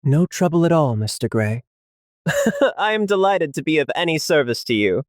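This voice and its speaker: A genial little Victorian tradesman, acted out by a male audiobook narrator, eager to please and full of deference. His voice sits on the high side for a man and is recorded very dry. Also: sing-song, moderate pace, dry recording. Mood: eager, deferential. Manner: obliging, deferential, chuckling tradesman talk.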